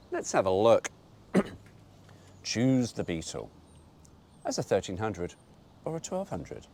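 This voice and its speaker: A man's voice: medium pitch, darkish tone, slight background noise. A man reading an old car brochure aloud, breaking off for wry, mock-indignant asides.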